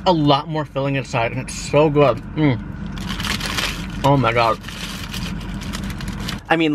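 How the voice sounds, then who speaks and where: A young man chatting casually to a handheld camera, gushing excitably over what he finds in a car and in stores. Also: a little high, noisy background. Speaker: vlogger. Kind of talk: casual vlog chat to camera. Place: car, showroom and store, indoors. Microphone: handheld camera at arm's length.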